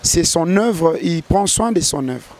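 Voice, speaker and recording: A man's voice: on the high side, animated, unhurried, a little mellow. A man, a gospel singer answering interview questions earnestly, often restarting his sentences. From DR Congo. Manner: earnest, hesitant with repeated restarts.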